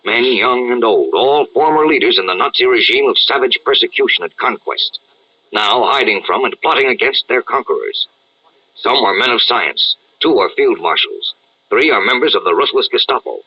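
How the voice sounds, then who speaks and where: A man with a husky voice narrating a radio serial in a dramatic, scripted style. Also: medium pitch, lively intonation, normal speed. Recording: dull lo-fi radio-style recording.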